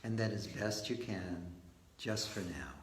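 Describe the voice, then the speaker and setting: A man leading a guided meditation as its teacher, speaking in short phrases and gentle questions with long pauses between them. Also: lowish voice. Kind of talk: guided meditation led live, slow and spoken in short phrases. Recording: roomy echo with faint background noise.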